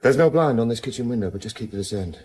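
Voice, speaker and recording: An actor playing a calm, world-weary widowed detective in a dry, clipped, understated way for radio drama. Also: male voice, medium pitch, fast talker, slightly rough. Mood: calm, persuasive. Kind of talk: naturalistic radio drama acting.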